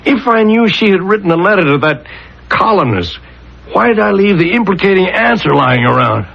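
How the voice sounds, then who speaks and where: An actor playing a troubled, defensive married man, halting and emotional, in a 1970s radio drama. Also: high voice, big pitch swings, vocal fry, slightly rough, heavily acted. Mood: anxious, pleading. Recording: dull lo-fi 1970s radio recording.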